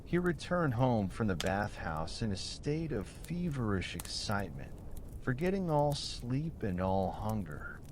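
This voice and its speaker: A man reads a bedtime story at a slow, deliberate pace. His medium-pitched voice swings expressively through soothing, carefully phrased narration, with a faint low rumble behind him. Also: very animated, a little mellow.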